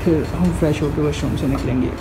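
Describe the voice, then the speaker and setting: A young man talks to his phone camera as he walks through streets and a busy shop. He rambles in a casual, chatty way and keeps checking in with his viewers. Loud noise runs behind him the whole time. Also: on the high side, quick pace. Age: young adult. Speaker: vlogger. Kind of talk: casual vlog walk-through. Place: walking through streets and a large bakery shop. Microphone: phone camera held in hand.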